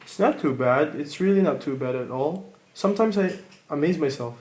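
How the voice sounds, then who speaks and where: An actor playing a fond, self-satisfied middle-aged husband in a radio play, with a mock-pompous, teasing manner. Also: male voice, fairly high pitch, expressive, moderate pace, darkish tone, lightly acted. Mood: jovial.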